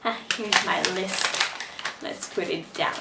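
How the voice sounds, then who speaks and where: A young Brazilian woman whose first language is Portuguese, speaking English with a mixed Brazilian, American and British accent in a chatty, animated vlog, talking from her bedroom. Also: medium pitch, slow pace, creaky, echoey room, heavy background noise. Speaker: vlogger. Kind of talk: casual direct-to-camera vlog.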